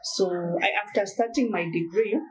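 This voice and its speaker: A young woman from Kenya and Uganda, interviewed, telling her own story in an earnest, halting way with many restarts. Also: mid-range voice, background noise. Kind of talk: personal testimony in conversation.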